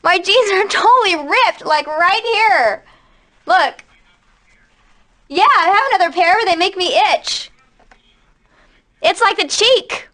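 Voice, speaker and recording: A woman's voice: very high-pitched, lively intonation, slow, pauses often, pushed and bright. A woman voice actress chatting casually between takes in a studio, giggly and informal. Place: dry recording studio.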